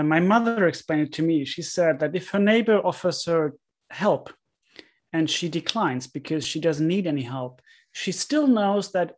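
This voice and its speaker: Wry Finnish man, somewhat high voice. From Finland. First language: Swedish. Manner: relaxed, wry, tells anecdotes. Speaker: guest author. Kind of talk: casual interview chat.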